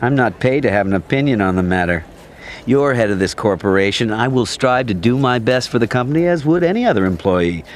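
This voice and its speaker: A man playing a smug, smooth-talking corporate executive in an audio drama, formal and self-assured. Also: mid-range voice, expressive, a little fast, a little hiss or hum, lightly acted. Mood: polite, restrained.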